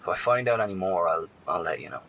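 Man acting a deep-voiced, wary survivor. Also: expressive, quick pace, creaky, bright, forceful tone, exaggerated voice. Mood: uneasy. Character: wary, kind male survivor scouting the wasteland by radio. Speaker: actor. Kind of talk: post-apocalyptic horror audio drama.

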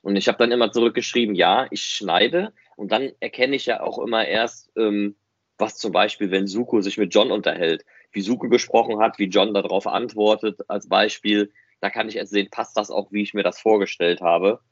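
A man's voice: lowish voice, moderate pace, dry recording, highs cut off. A man chatting on a podcast, explaining things in detail with examples. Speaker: podcast host and fan audio drama director. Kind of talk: casual podcast conversation.

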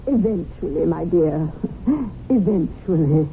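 An actress in a radio drama playing a wealthy, flirtatious society widow, name-dropping in a coy, airy, self-assured drawl. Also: female voice, lowish voice, animated, slow, vocal fry, loud music behind the voice, full character voice. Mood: airy, grand. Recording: tinny band-limited old radio broadcast, hissy.

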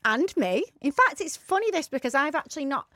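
A woman in her thirties with a slight lisp chats bluntly and jokily, her pitch leaping all over and breaking into loud laughs. She speaks a little high, recorded dry and close with no room sound. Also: sing-song, a little fast, no room sound. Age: 30s. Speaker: podcast co-host. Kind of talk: casual chat.

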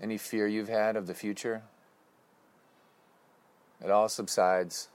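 A man leading a guided breathing meditation with slow, calm, instructive cues. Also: lowish voice, smooth.

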